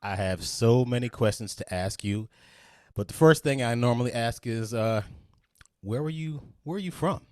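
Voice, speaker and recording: A man's voice: medium pitch, moderate pace, a little mellow. A man hosting a podcast, welcoming his guest and asking short, upbeat questions. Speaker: podcast host. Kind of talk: interview hosting.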